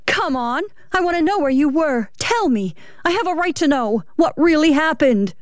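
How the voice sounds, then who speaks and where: Casting: woman as an anxious wife in an audiobook, higher-pitched, sharp and demanding, distrustful of her husband. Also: animated, measured pace, dry recording, clean studio sound. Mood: angry, insistent. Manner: tearful, sharp, insistent.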